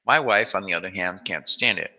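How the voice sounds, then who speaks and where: A man's voice: low-pitched, fairly quick, sharp tone. A man hosting an interview in a casual, joking way, with a playful, rambling, musing manner. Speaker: interview host.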